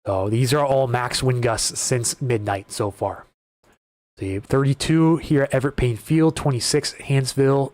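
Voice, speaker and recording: A man hosting a live weather stream, talking through wind data and viewer questions in a casual, conversational way, often restarting his sentences, his voice starting to go hoarse. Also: medium pitch, darkish tone, no echo. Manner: conversational, repeats words and restarts while reading data. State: voice getting hoarse. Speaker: weather livestream host.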